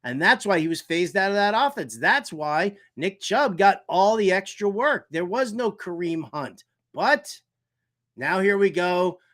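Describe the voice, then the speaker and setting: A man with a fairly high-pitched voice hosts a casual sports talk show. He delivers comedic commentary with theatrical flair, often hammering a word or phrase twice for emphasis. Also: lively intonation, measured pace, a bit bright. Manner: joking, theatrical, emphatic repetition. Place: shed used as home studio.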